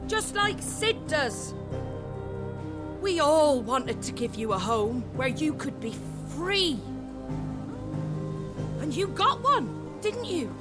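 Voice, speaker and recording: Fierce young woman in a fantasy game, slow heartfelt rallying, loud music behind. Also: sits high, very animated, heavily acted. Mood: impassioned, urging. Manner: deliberate, impassioned speechmaking.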